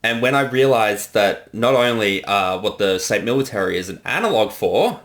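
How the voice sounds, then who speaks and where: A man's voice: on the low side, animated, normal speed, a bit bright. A man giving casual director's commentary as the creator of a comedy production. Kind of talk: casual film commentary chat.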